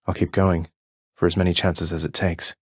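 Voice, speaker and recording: A man's voice: sits low, level tone, normal speed, slightly rough, dry recording. A man playing a wry, rebellious young prince of the underworld in a video game, speaking in a dry, understated, politely sardonic way. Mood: determined.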